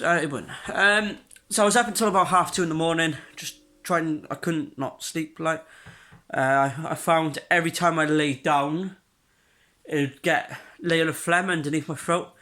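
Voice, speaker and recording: Young man, congested and coughy, bright tense voice, fairly high, rambling casual chat. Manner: rambling, chatty, frequent fillers and restarts. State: congested and coughing, sore throat. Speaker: vlogger. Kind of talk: casual video diary. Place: at home.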